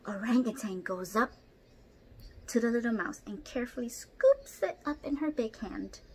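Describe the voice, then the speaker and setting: A young woman reading a picture book aloud expressively at home, pausing to ask child listeners questions. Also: a little high, expressive, darkish tone, husky. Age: young adult. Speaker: storyteller for children. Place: small room at home, indoors.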